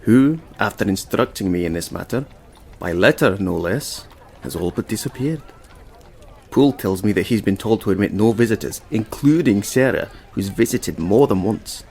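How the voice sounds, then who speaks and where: Male actor as inquisitive Victorian lawyer, lowish pitch, deliberate, formal, dry, theatrical, slightly noisy recording. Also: expressive, a little mellow. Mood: thoughtful, intrigued. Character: measured, inquisitive Victorian lawyer, dryly witty. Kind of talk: theatrical stage drama acting.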